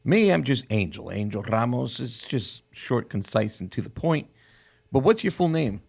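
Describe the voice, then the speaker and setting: A man's voice: medium pitch, big pitch swings, normal speed, gravelly, a little mellow, husky. A man hosting a real estate show as a casual interview, with a joking, conversational manner.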